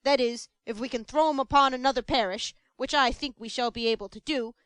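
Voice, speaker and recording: A blustering, self-satisfied male parish official from an old storybook, put on by a woman narrator. He speaks loudly and sternly, proud of his office and deaf to anyone's hardship. The sound is close and dry. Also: high voice, brisk, clean tone, dry recording. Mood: callous. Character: pompous self-important middle-aged male parish beadle.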